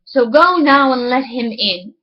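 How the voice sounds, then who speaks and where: A woman's voice: high voice, animated, unhurried, muffled recording. Woman reading as a stern fatherly storybook king. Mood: commanding. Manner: solemn, formal pronouncements.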